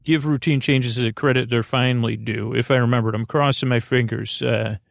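A man with a creaky, gravelly voice hosting a sleep podcast in a meandering, halting, goofy monologue that keeps interrupting itself. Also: medium pitch, steady tone, no echo, clean studio sound. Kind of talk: rambling bedtime monologue.

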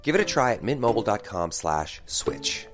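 Wildly animated male advert spokesman. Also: on the low side, moderate pace. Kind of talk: conversational comedic commercial read. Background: music.

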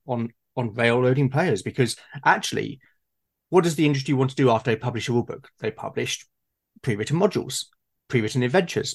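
A man with a medium-pitched voice talks as the host of a relaxed discussion. He muses as he goes, halting and repeating the starts of his sentences while he works through a thought. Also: breathy edge. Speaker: host and game master in a conversation. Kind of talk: casual reflective discussion.

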